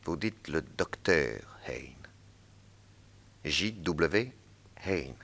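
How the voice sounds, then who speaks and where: An actor playing a shrewd, calm male detective in a radio drama, speaking in a low, measured way. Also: gravelly, heavily acted. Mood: calm, probing.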